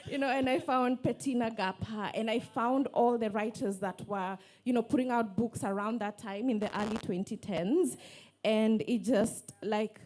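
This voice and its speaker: Woman with a mellow voice. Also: a little high. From Kenya. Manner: animated storytelling with many fillers. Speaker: panelist, online book lover. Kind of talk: casual panel talk.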